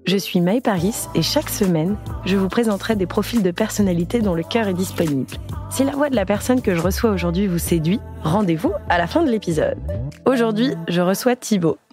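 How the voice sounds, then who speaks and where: A woman's voice: mid-range voice, lively intonation, fairly quick, darkish tone, soft background music. A woman hosting a podcast, asking light, encouraging questions in a friendly interview chat. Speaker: podcast host.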